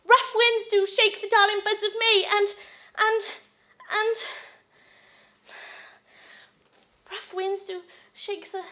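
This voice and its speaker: High-voiced actress as a desperate, sarcastic jilted wife. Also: female voice, very animated, normal speed. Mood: tearful, pleading. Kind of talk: acted stage monologue.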